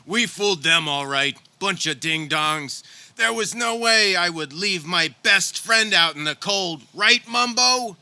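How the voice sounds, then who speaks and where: Casting: a man as over-the-top Frenchman devoted to his pet, high and very bright, comic radio theater. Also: measured pace, clean tone, dry recording, exaggerated voice. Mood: smug, affectionate. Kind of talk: comedic radio theater acting.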